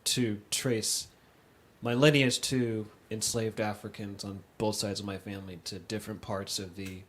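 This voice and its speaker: Low-voiced man speaking reflectively. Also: steady tone, a little slow. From United States. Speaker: conference speaker. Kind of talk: reflective personal talk. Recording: faint background noise.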